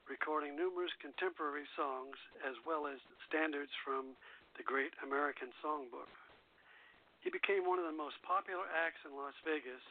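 A man's voice: a little high, slight background noise. A man hosting a radio show, reading scripted introductions and narration, with a thin phone-like sound. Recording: phone-like tinny sound over loud music.

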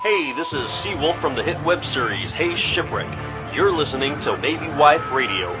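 Man, radio promo, dull lo-fi sound, loud music underneath. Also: on the high side, animated, moderate pace. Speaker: guest promo voice.

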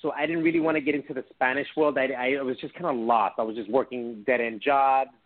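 A friendly Miami-raised man talks casually as an interview guest, often stopping and restarting his sentences. His voice sits at a middling pitch. The sound is thin and tinny, as over a phone line. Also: steady tone. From Miami, Cuban American. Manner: friendly, halting, many restarts. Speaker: actor guest. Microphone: phone call.

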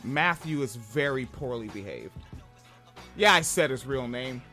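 A man from Washington State with a high-pitched voice, co-hosting a casual podcast, profane and animated, laughing often. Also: a little slow, soft background music. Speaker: podcast co-host.

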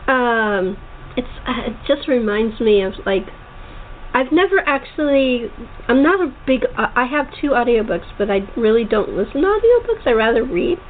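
Female host, higher voice, rambling and halting conversational delivery, thin phone-quality sound with faint noise. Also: animated. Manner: rambling, halting, restarts sentences often. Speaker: talk show host. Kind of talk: casual chat interview.

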